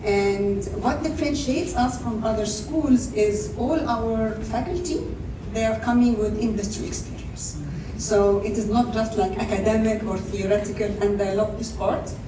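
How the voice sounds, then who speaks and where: A woman's voice: medium pitch, normal speed. A middle-aged woman from Egypt with an Egyptian accent, speaking informally and enthusiastically into a handheld microphone at a networking event, with frequent fillers. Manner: warm, laughing, enthusiastic, with fillers. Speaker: teaching professor. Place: large indoor event space. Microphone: handheld microphone, heard from far off. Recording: echoey room from far off, background noise.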